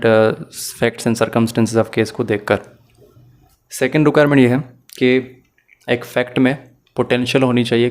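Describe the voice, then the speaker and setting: A man giving a methodical, explanatory lesson as a law lecturer, mixing in English phrases. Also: mid-range voice.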